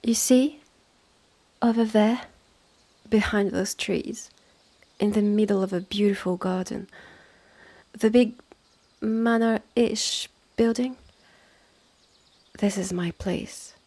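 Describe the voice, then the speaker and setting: Haughty mistress of the woods, played by a woman: French accent, slow and condescending, gravelly edge, roleplay audio. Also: mid-range voice, fairly even intonation, warm tone. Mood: proud, ominous. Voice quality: husky, breathy. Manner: slow, measured, condescending, with breathy drops to near-whisper. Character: haughty, territorial witch's sister running a secretive woodland hotel. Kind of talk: scripted roleplay audio drama.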